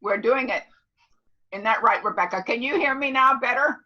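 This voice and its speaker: An older woman, about seventy, teaches in a bright, forceful voice. She talks casually and emphatically, repeating points to be sure she is followed. The audio is dull and lo-fi. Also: fairly high pitch, normal speed. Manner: emphatic, repeats herself and checks listeners understand; loud, clear talker. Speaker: instructor. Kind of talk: casual motivational class talk.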